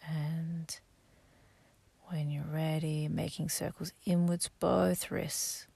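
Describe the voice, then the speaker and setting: Low, gravelly-voiced woman guiding meditation. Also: flat delivery. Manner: soft, gentle, slow and instructive, sometimes half-whispered. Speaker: meditation guide. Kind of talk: guided sleep meditation.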